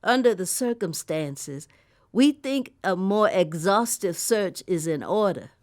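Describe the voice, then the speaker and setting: A woman's voice: mid-range voice, animated, takes it slowly. A woman playing a stern, officious elder neighbor in an audio drama, speaking formally. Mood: firm.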